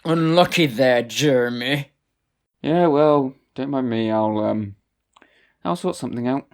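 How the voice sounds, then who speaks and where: A woman playing a hapless, wistful dead writer in an amateur comedy drama, awkward and halting. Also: very deep voice, a little mellow. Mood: resigned, awkward.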